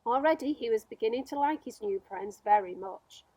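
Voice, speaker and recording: A woman reading a children's story aloud as a storyteller, with expressive narration. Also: a little high, moderate pace, no room sound, low-quality recording.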